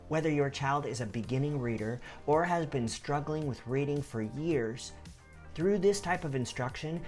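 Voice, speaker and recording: A man presenting a scripted educational video in the first person, with an expressive, explanatory delivery. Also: medium pitch. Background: background music.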